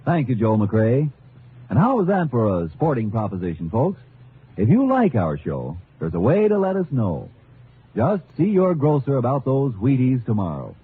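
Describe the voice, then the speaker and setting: Old timey radio ad guy, super animated and folksy, upbeat pitch, tinny sound. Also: moderate pace, a little hiss or hum. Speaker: commercial announcer. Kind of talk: 1950s radio advertising pitch.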